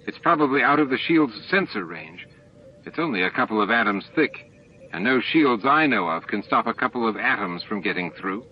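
A man's voice: lowish voice, expressive, normal speed, highs cut off, a little hiss or hum, natural voice. A man reading the part of an eager, technically minded male starship engineer in an emphatic, explanatory manner. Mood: keen explaining.

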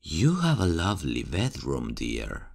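A man voicing a creepy, soft-spoken male serial killer in an ASMR horror roleplay, hushed and taunting, calling the listener dear. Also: rumbling low, wildly swooping pitch, a little slow, slight background noise, heavily acted. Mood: menacingly soft. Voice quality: hushed, raspy and menacing.

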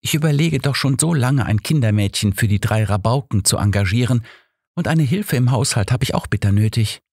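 A man's voice: mid-range voice, lively intonation, brisk, darkish tone, husky, no echo, clean studio sound, voice pushed up. A man voicing an eager, kind-hearted and determined woman in an audiobook, cheerful and insistent. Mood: eager, persuasive. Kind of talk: audiobook character dialogue.

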